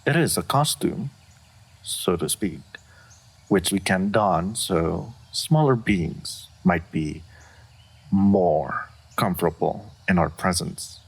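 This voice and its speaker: A man playing an aloof dragon in human form, speaking formally and with deadpan reserve in a fantasy roleplay audio. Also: low voice, slow pace, vocal fry, slightly rough, heavily acted. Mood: measured, explanatory. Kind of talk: scripted fantasy roleplay audio addressed to the listener.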